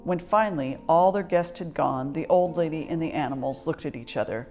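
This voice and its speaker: A woman with a lowish voice reads a children's storybook as storyteller. Her reading is expressive, measured and articulate, with faint music around it.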